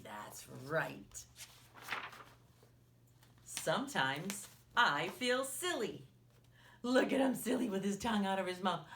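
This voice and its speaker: A woman leads a story time for young children, reading in an expressive, exaggerated way. Her pitch swings widely and her pace is slow and deliberate. She keeps turning to the little listeners with questions and leaves space for them to answer. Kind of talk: read-aloud picture book storytelling for young children.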